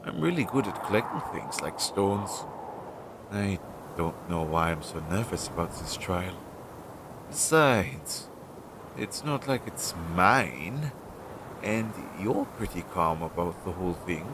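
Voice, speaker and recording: Guy doing a nervous loyal crow familiar, talks real slow, earnest n hesitant, audio roleplay, noisy lofi mic. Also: expressive, full character voice. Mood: reassured, earnest. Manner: hesitant, chatty, earnest, thinking aloud. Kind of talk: roleplay audio drama, intimate one-sided dialogue. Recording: noisy low-quality amateur recording.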